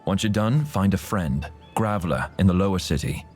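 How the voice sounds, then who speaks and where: A man plays a sardonic schemer from a fantasy game. His voice is very deep, and he talks wryly, like a conspirator laying out a plan. Faint music runs behind him. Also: normal speed, natural voice. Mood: conspiratorial. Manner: wry, conspiratorial. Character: wry, scheming male infiltrator, sardonic ally. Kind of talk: video game dialogue acting.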